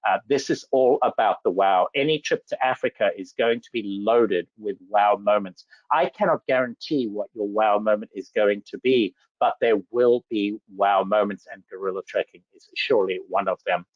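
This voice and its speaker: A man's voice: on the low side, moderate pace, dry recording. A man from Zambia giving an enthusiastic slide presentation about safari travel, full of superlatives. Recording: dull lo-fi dry audio.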